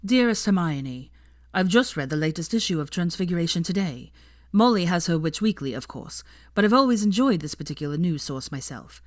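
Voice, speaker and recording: Woman narrator reading fanfic, low voice, calm and measured, dry close mic. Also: no echo. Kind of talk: audiobook-style fanfiction reading.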